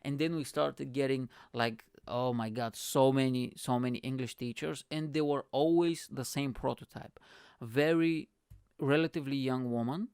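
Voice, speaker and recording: Gravelly man telling stories. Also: medium pitch, moderate pace, dry recording, studio quality. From Bulgaria. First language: Bulgarian. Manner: animated, laughs often, acts out quoted people. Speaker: podcast host. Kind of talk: casual storytelling monologue.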